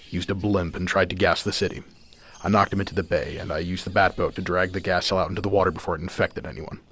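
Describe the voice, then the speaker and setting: A man's voice: low voice, fairly even intonation, quick pace, fairly bright tone, a little hiss or hum. A man playing a stern, secretive vigilante father in an amateur superhero radio drama, stubborn and protective. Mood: grave, recounting. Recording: music bed behind the voice.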